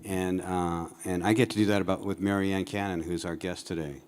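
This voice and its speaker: A man with a very deep voice introduces a guest from the stage. He speaks warmly and haltingly, stopping often to restart his sentences. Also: steady tone. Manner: warm, halting, with fillers and restarts.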